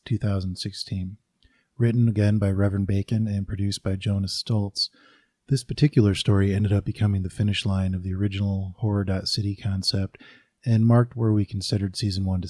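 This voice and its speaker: A man's voice: lowish voice, dark tone, no echo, studio quality. A man giving a scripted introduction as a podcast announcer.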